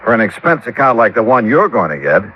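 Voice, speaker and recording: An actor playing a wry, hard-boiled insurance investigator in 1950s radio drama, brisk and clipped. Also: male voice, medium pitch, big pitch swings, close to an everyday voice. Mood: sardonic. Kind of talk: 1950s radio detective drama acting and narration. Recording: dull old radio recording with music.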